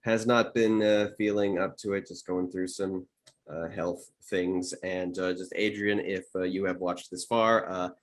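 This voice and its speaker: A man talking casually on a panel as a producer and voice actor, in a halting way with repeated restarts. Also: low-pitched, little pitch movement. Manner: halting, with repeated false starts. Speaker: producer and voice actor on an audio drama. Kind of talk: casual retrospective panel chat.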